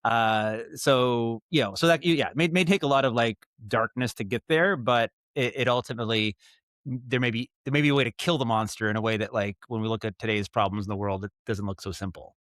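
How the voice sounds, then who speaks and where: A man's voice: medium pitch, brisk, fairly bright tone, no room sound, clean studio sound. An American man chatting casually as an interview guest, thinking aloud in a rambling, thoughtful way and repeating words as he goes. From Maine, United States. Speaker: podcast guest, audio drama writer and director.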